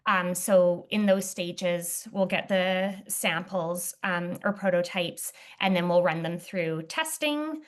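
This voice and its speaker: A young woman guest in an interview, talking casually with many fillers and restarts, her voice slightly hoarse. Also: lowish voice, slow pace, hardly any pauses. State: slightly hoarse, clearing throat. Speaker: footwear testing lead, interview guest.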